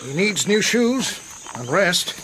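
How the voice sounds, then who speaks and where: An actor playing a hearty, clubbable army captain and horse vet in a radio drama. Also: male voice, sits high, sing-song, takes it slowly, full character voice. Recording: noisy outdoor ambience with insects.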